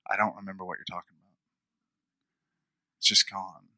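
A man preaching a conversational sermon, repeating phrases for emphasis, with a smooth radio-style voice. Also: low-pitched, little pitch movement, normal speed, bright, forceful tone, no room sound. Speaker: pastor.

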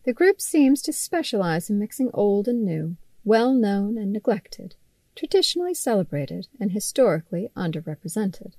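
A woman narrates a written feature article in a mid-range voice. Her phrasing is measured and articulate, with a wry touch and pointed emphasis on key words. The recording is very dry, with almost no room sound. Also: mid-range voice, very animated, dark tone, no room sound. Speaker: magazine writer reading own article. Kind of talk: scripted article narration, read aloud.

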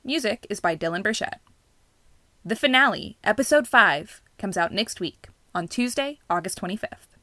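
A woman's voice: mid-range voice, animated, moderate pace, bright, forceful tone, dry recording. A woman podcast announcer reading scripted closing credits.

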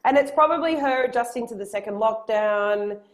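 Storytelling mum co-hosting a podcast. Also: female voice, on the high side, brisk. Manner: animated storytelling with acted-out quotes. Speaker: podcast co-host. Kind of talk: casual chat. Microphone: headset earphones.

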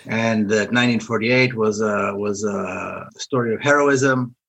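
A man's voice: lowish voice, fairly even intonation, measured pace, gravelly, fairly bright tone. A middle-aged man from Israel, an author talking as a guest in a podcast interview, reasoning aloud with frequent restarts. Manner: thoughtful, repeats and restarts while reasoning. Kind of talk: podcast interview, earnest explaining.

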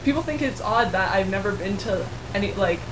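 A woman's voice: medium pitch, animated, fairly quick. Friendly young woman, Polish native. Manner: friendly, hesitant, many restarts and laughs. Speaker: manual osteopath. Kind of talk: casual interview chat. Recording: noisy room ambience.

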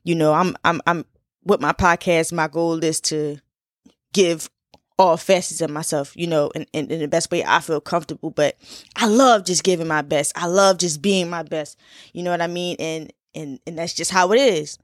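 A woman's voice: low-pitched, fairly even intonation, moderate pace, no echo, clean studio sound. A woman in her twenties from Philadelphia, hosting a casual solo podcast in a chatty, laid-back way with lots of fillers and repeats. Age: 20s. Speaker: podcast host.

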